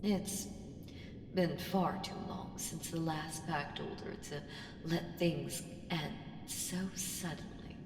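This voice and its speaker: A woman playing an ancient evil entity in an ASMR audio roleplay, coaxing and condescending, commanding and seductive, with deliberate delivery that slips into whispers. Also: low voice, fairly even intonation. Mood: smug, controlling. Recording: roomy long reverb with clear background noise.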